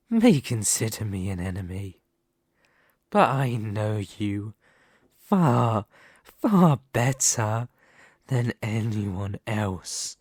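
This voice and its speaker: A man playing a dominant, vain and possessive demon lord in an ASMR roleplay, speaking formally and theatrically with deliberate dramatic pauses. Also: on the low side, darkish tone, husky, no room sound, studio quality, heavily acted. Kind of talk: ASMR roleplay character monologue.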